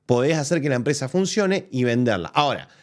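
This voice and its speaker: A man's voice: mid-range voice, a little fast. A man with an Argentine accent hosting a live show, arguing his points in casual commentary with frequent restarts. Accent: Argentine Spanish. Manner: animated, argumentative, thinks aloud with restarts. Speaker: political commentator host. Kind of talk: casual commentary reacting to a press conference.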